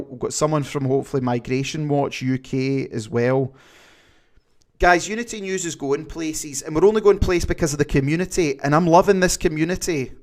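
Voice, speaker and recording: A man with a Glaswegian accent hosting a livestream, chatting casually and reading viewer comments, rambling with many fillers. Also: medium pitch. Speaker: livestream host.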